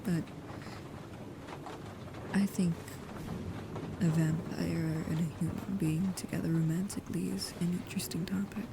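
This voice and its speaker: A woman playing a devoted, anxious, shyly affectionate vampire bodyguard in an intimate ASMR roleplay, speaking softly and haltingly, often near a whisper. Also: lowish voice, fairly even intonation. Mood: bashful, deflecting. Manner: hesitant, halting, soft-spoken, often dropping to a whisper. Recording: clearly audible background ambience noise.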